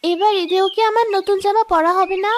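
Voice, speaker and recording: A woman's voice: very high-pitched, steady tone, brisk, a little hiss or hum. A woman voicing an eager little daughter of a poor family in a cartoon drama, childlike and wistful. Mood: disappointed.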